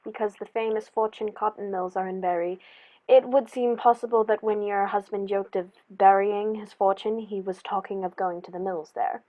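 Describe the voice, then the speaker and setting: A woman playing a composed, shrewd male detective in a radio play, with a measured, formal, probing way of speaking. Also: mid-range voice, fairly even intonation, dull audio, natural voice. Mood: shrewd, assured.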